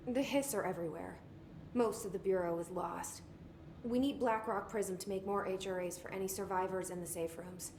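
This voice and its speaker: A woman's voice: mid-range voice, moderate pace, darkish tone, echoey room, a little hiss or hum, close to an everyday voice. An actress playing a determined, guarded young woman in charge, in a video game, speaking tersely and directly. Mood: resolute, businesslike. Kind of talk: video game cutscene dialogue.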